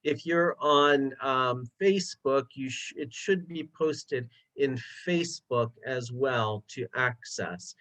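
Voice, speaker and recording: A man's voice: mid-range voice, slow, few pauses, a bit bright. A man who is a linguist, moderating a webinar over a video call and speaking hesitantly with many fillers.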